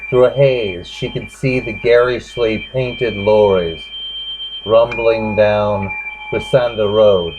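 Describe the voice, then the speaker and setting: A man giving a grave, halting dramatic reading of literary fiction as its narrator. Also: on the low side, steady tone. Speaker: narrator reading a short story. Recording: dull lo-fi recording with clear background noise.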